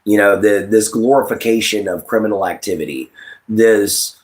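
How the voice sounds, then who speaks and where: Livestream host: American male, late 30s, fairly low voice, casual and opinionated, circles back over points while addressing the audience. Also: moderate pace. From United States. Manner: addresses listeners directly, rambling and repetitive.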